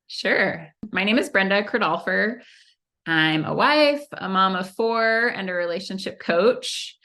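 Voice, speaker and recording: A woman's voice: medium pitch, expressive, measured pace, pushed and bright. A woman from Central California, a relationship coach guest, talking in a loose, rambling, conversational way over a video call. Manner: rambling, conversational, many fillers. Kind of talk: casual interview chat. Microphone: video call recording.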